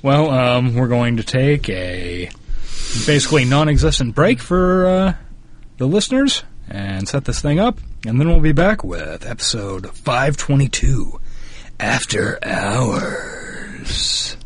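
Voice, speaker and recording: A man's voice: mid-range voice, big pitch swings, slow. A man co-hosting a casual podcast, bantering sarcastically and swearing freely. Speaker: podcast co-host.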